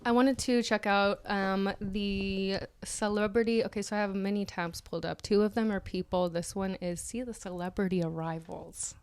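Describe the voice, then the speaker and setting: A woman co-hosting a podcast in casual comedic chat, halting and often trailing off. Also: medium pitch, steady tone, clean tone. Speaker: podcast co-host.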